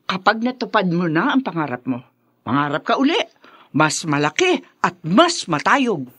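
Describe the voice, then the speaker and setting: Pushy, boastful Filipino matriarch, radio drama, swooping emphatic delivery, dry close sound. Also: female voice, on the low side, very animated, normal speed, fairly bright tone, husky, dry recording, exaggerated voice. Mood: boastful, proud. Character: proud, domineering, ambitious mother and grandmother.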